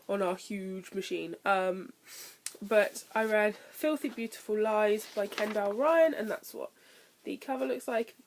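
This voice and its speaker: A young woman, chatty and full of ums and likes, reviewing books in a casual vlog while sounding nasal from a cold. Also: medium pitch. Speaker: book vlogger.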